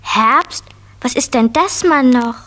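A little girl of four in a children's audio play. She speaks high, with a frank, childlike curiosity and an eager manner. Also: takes it slowly. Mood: inquisitive. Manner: bright-eyed, childlike, frank. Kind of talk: 1970s children's audio drama acting.

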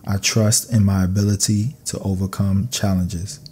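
Deep, mellow man reading calming affirmations. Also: slight background noise. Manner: calm, soothing, measured delivery. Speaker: narrator of guided affirmations. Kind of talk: scripted sleep meditation affirmations.

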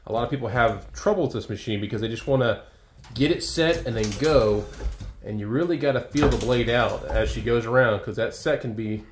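Emphatic host talking to the folks watching. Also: male voice, medium pitch, a little fast, background noise. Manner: addresses the viewers as folks, emphatic. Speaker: video host. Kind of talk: enthusiastic host commentary. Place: wood-walled workshop, indoors.

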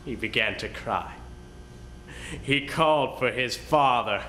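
A man giving an intense, deliberate dramatic reading of a narrative. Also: pushed and bright. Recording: roomy echo, faint rumbling noise.

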